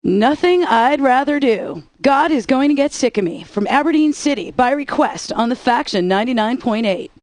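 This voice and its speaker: A woman's voice: sits high, lively intonation, normal speed, hardly any pauses, darkish tone, no room sound. A woman radio DJ with sassy, playful patter between songs.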